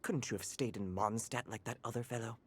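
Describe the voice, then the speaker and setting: A man's voice: medium pitch, a little fast, slightly rough, lightly acted. A man voicing a fussy, loyal cat butler in a video game, with a haughty, grumbling manner. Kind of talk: video game character acting.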